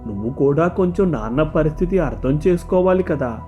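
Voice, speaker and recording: Guy voicing poor village dad in a cartoon moral story, tired but gentle and reassuring. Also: fairly high pitch, lively intonation, a little fast, muffled recording, soft background music, lightly acted. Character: poor, gentle village corn seller, husband and father. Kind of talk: animated moral story dialogue.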